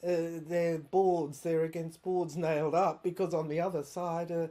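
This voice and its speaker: A woman playing an obsessive, haunted inventor shut away by soldiers in an audio drama monologue, speaking in a halting, rambling, theatrical way with repeated words. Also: fairly low pitch, little pitch movement, mellow, natural voice. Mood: haunted, subdued.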